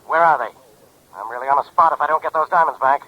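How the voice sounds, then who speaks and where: A man's voice: a little high, fast talker, lightly acted. A man playing an irritable, blustering police inspector in a 1940s radio drama. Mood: irritable, exasperated. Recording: dull old 1940s radio recording.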